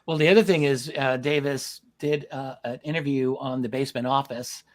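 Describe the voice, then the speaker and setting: A man hosting a conversational interview, speaking in a thoughtful, halting way with repeated words. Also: medium pitch, steady tone. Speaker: host interviewing a documentary filmmaker.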